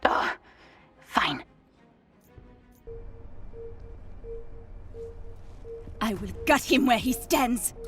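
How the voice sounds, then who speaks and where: An actress playing a clever, headstrong young princess with an African accent, brisk and confident, in a video game. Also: female voice, fairly high pitch, lively intonation, a bit bright, soft background music. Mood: frustrated.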